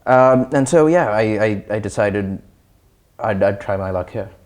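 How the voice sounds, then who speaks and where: A young man with a slightly low-pitched voice speaks as an interview guest. He is from Sri Lanka. He phrases his reflections in a measured, thoughtful and articulate way. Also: expressive, a little mellow. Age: young adult.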